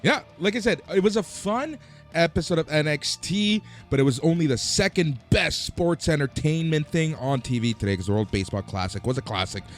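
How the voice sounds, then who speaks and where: A man's voice: fairly high pitch, expressive, soft background music. Man with a worn-out voice hosting a podcast. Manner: plugs shows in a quick run. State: voice almost gone. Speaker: podcast host. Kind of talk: casual chat.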